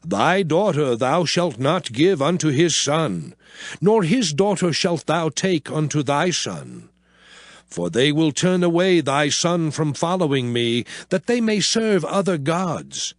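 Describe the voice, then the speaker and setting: A man's voice: fairly high pitch, very animated, slow pace, no echo, clean studio sound. An actor playing a solemn, commanding prophet who addresses his people in dramatized, oratorical scripture with archaic English. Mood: solemn warning. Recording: loud music bed under voice. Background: music.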